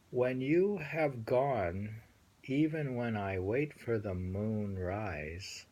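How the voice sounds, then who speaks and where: A man with a husky voice reads poems aloud at an unhurried pace. He is halting, repeating phrases and adding casual, musing remarks as he goes. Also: fairly low pitch, a little mellow. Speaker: reader and host. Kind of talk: reading translated poems aloud with casual commentary.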